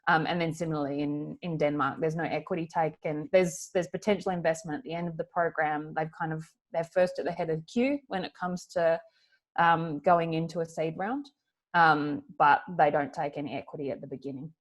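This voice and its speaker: Female founder on an online panel: somewhat low pitch, measured, plain explanatory delivery. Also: fairly even intonation. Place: online video call.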